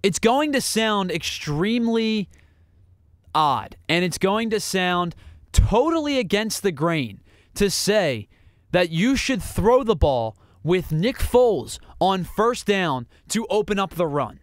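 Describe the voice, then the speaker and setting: A man's voice: high voice, big pitch swings, slow pace, no echo. A man hosting a sports podcast, delivering an emphatic solo analysis that builds with rhetorical questions. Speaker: podcast host.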